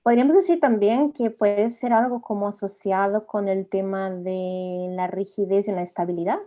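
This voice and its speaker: A woman's voice: mid-range voice, steady tone, moderate pace, warm tone. A woman who is a native Portuguese speaker, co-hosting a casual discussion. She speaks tentatively and searches for her words. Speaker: discussion co-host. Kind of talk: casual conversational dialogue.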